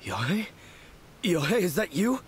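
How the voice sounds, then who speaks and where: A man's voice: sits high, very animated, a little slow, a little hiss or hum. A man voicing a timid young swordsman in a video game, shaken and self-deprecating after being possessed.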